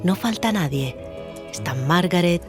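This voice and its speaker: A woman's voice: lowish voice, animated, measured pace. A woman reading a scripted, letter-style trailer narration as its writer, with music behind her.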